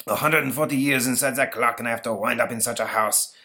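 A man's voice: mid-range voice, steady tone, fast talker, sharp tone, pitched above the performer's own voice. A man voicing the pompous, indignant ghost of a thirsty French emperor in a comic story. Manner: grandiose, indignant.

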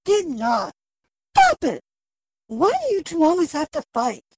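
A man's voice: extremely high pitch, huge pitch swings, unhurried, no echo, studio quality, pitched above the performer's own voice, exaggerated voice. A man voicing bossy, theatrical female characters, witches and a magic cat, in a fairy tale audio drama. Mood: squabbling.

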